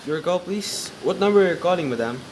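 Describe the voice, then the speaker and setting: A woman's voice: on the low side, expressive, quick pace, a little mellow, a little hiss or hum, natural voice, rough recording. A woman playing an impersonal telephone switchboard operator in a radio play, formal and businesslike.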